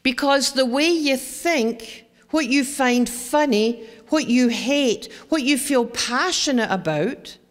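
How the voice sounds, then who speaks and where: A woman with a Scottish accent gives a chatty educational talk to parents and often asks the audience questions. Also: high voice. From Scotland. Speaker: presenter. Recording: long hall reverb.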